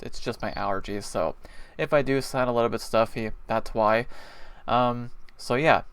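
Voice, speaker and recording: A man talking through a TV episode review in a relaxed, meandering way, with a smooth, resonant voice and a slightly stuffy nose. His delivery is full of pauses and small fillers, and the sound is dry and close, with no room around it. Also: medium pitch, no echo. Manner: chatty, rambling, frequent pauses and fillers. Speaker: TV show reviewer. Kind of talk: casual spoken review.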